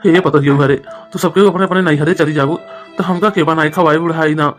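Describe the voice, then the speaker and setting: A man's voice: a little high, steady tone, brisk, soft background music, lightly acted. A man voicing a grumbling elderly father-in-law in a rural cartoon comedy, pleading in an Awadhi accent. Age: older.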